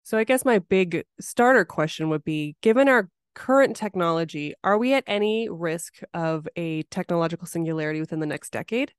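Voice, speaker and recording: A woman with a slightly low voice speaks as a podcast host in an interview. The sound is fairly dry and close, with little room around it. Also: lively intonation, a little fast, hardly any pauses, clear, resonant tone, dry recording. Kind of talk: podcast interview with some scripted introduction.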